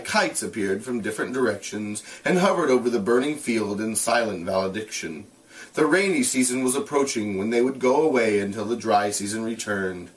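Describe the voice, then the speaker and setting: A man reads a story aloud as a teacher would, at a medium pitch. His intonation is very animated, and he sits a little away from the mic. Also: distant mic, low-quality recording. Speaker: teacher reading a novel aloud. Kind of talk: read-aloud narration with casual explanatory asides.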